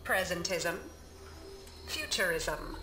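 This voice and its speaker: Slow, measured lesson narrator machine. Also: female voice, lowish voice, huge pitch swings, reverberant, over music, full character voice. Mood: measured, formal. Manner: measured, instructive. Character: calm female computerised lesson narrator. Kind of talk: scripted educational narration.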